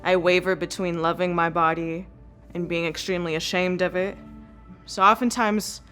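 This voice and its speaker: A woman's voice: on the low side, fairly even intonation, normal speed, fairly bright tone, soft background music. A woman in her twenties speaking candidly and vulnerably about her body. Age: 20s. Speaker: participant. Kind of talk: candid conversation.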